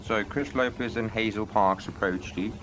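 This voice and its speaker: A man's voice: fairly low pitch, fairly even intonation, moderate pace, background noise. A man questioning a witness as a lawyer in a roleplay court, asking formal questions with many hesitant fillers.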